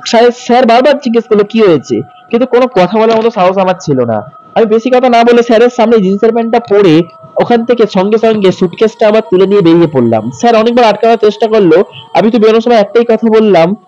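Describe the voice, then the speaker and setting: A man narrating a horror story in the first person, suspenseful, with dramatic pauses and repetition. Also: high-pitched, animated, mellow, muffled recording.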